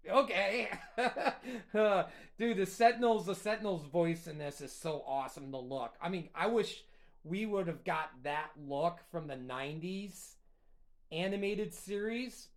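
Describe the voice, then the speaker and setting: Chatty, excitable man on his own show. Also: high-pitched. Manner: rambling and enthusiastic, many fillers. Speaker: host. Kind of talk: casual solo chat about a cartoon rewatch.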